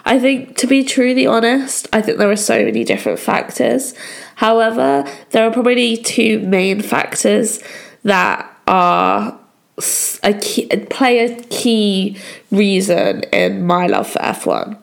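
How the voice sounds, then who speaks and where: Croaky-voiced young woman with a cold. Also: mid-range voice, big pitch swings, unhurried. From England. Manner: chatty, many fillers like "kind of". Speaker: podcast host. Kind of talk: solo podcast chat.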